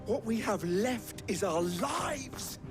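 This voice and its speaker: A desperate male soldier, his voice very high and swooping. He protests fearfully over clear music in a fantasy game drama. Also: animated, slow pace, exaggerated voice. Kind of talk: video game dramatic acting.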